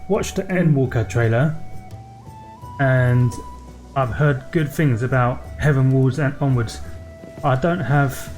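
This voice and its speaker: A man hosting a let's play, chatting casually in a halting, unscripted way. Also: mid-range voice, darkish tone, soft background music. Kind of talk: casual gameplay commentary.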